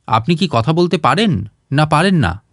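A man's voice: on the high side, big pitch swings, normal speed, a bit bright, no echo, studio quality. A man voicing an impatient, busy male doctor who questions people curtly and scoldingly in a story reading.

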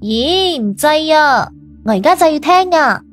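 A man's voice: extremely high pitch, lively intonation, unhurried, a bit bright, soft background music, pitched above the performer's own voice, full character voice. A man voicing a jealous young woman in an audiobook, pleading and demanding in a girlish, coquettish way. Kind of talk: audiobook character voice.

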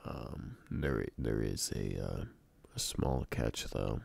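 A man playing a sleepy, affectionate boyfriend in an intimate ASMR roleplay, murmuring tenderly and haltingly in a gravelly, husky morning voice. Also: a bass voice, darkish tone, dry recording, exaggerated voice. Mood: drowsy, pleading. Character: sleepy, affectionate boyfriend coaxing partner back to bed.

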